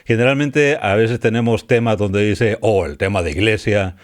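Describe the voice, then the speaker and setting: Friendly man hosting a podcast. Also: on the low side. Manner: friendly, laughs easily, halting restarts. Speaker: podcast host. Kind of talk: casual podcast interview.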